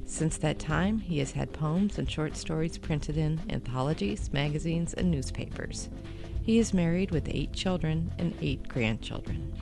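A woman's voice: sits low, unhurried, vocal fry. A woman reading scripted announcements as a podcast announcer. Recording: music behind the voice. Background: music.

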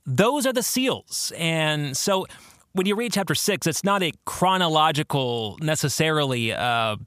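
A man hosting a podcast, explaining theology in a monologue with deliberate, emphatic phrasing. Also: a little high, lively intonation, sharp tone, no echo, studio quality. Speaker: podcast host and author.

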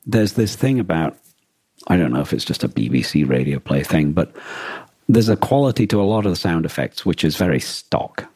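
Male podcast co-host, husky low register, casual and halting, restarts sentences, very dry sound. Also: sing-song, a little mellow, no room sound.

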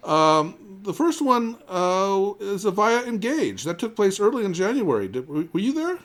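A man's voice: high voice, very animated, a bit bright. A man hosting a conversational tech news podcast, often stumbling and repeating the starts of his words. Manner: halting, with repeated word starts. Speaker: podcast host.

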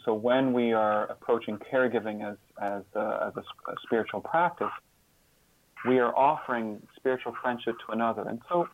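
A man speaking as an interview guest, explaining his ideas in a reflective, earnest way, often halting and repeating words. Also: mid-range voice, slight background noise. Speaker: interview guest and author on caregiving. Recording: slightly phone-like, band-limited line.

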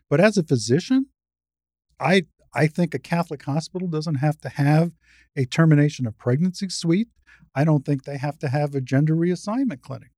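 A woman's voice: low-pitched, warm tone, no echo, studio quality. An older woman in a spirited conversation as a podcast guest, explaining points at length in a frank, discursive way. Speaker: podcast guest, retired surgeon and advocate.

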